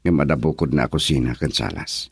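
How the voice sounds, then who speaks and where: Earnest, gentle young husband, radio drama: very low, husky, recorded close and dry. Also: male voice, brisk, no room sound, heavily acted. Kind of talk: Ilocano radio drama acting.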